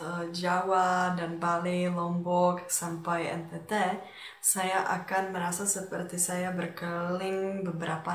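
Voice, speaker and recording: A Czech woman with a Czech accent talking casually to viewers as a vlogger, often hesitating as she searches for words in a language that is not her native one. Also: fairly low pitch. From Czech Republic. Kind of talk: casual personal talk to viewers. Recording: echoey, roomy sound.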